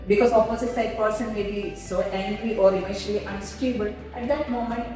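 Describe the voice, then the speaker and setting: An earnest woman speaks halting non-native English, far from the mic in a very echoey room with a long reverb tail. Faint music sits underneath her voice. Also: medium pitch, fairly even intonation, mellow. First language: not Arabic. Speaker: nursing supervisor. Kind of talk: interview answers.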